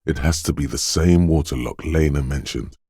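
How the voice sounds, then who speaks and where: A man voicing a detective's quick-witted inner voice in a video game, narrating in a measured, deliberate way with short, wry, alert asides. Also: a bass voice, warm tone, slightly rough, dry recording, clean studio sound. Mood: measured, ominous. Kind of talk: scripted game narration, second-person inner voice.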